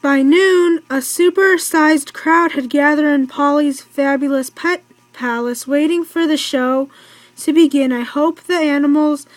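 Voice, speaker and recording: A young girl reading a children's storybook aloud in a halting way, stumbling over words and correcting herself. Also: sits high, no echo. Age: child or teen, in school. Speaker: read-aloud narrator.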